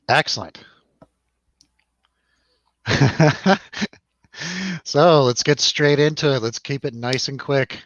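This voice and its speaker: A man hosts a casual review show in a medium-pitched voice, close and dry on a slightly muffled mic. He is jokey and likes a showy announcer flourish between bits of loose banter. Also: drawn-out words, pauses often, a bit bright, no room sound. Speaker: host of a movie review show.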